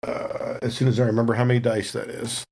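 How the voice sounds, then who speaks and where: Male tabletop player, average pitch, casual game talk and third-person action narration. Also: level tone, fast talker, gravelly, darkish tone, breathy edge.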